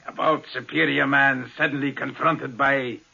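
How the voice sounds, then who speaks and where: Gloating, forceful radio-drama villain. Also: male voice, medium pitch, level tone, normal speed, gravelly, full character voice. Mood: gloating, menacing. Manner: gloating, taunting, theatrical. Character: resentful, power-hungry man menacing his adoptive brother. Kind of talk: 1950s radio drama acting. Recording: muffled 1950s radio drama recording.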